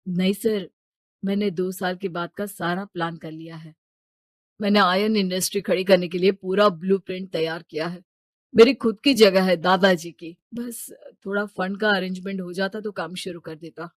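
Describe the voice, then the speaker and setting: A woman's voice: lowish voice, steady tone, normal speed, no room sound, pitched below the performer's own voice, lightly acted. Earnest young suitor, acted by a woman. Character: earnest, respectful young male suitor with big plans.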